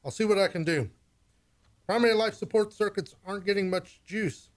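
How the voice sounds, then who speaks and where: A man's voice: sits high, brisk, lightly acted. A man playing a dutiful, wry starship chief engineer in a science fiction radio play. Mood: doubtful but willing.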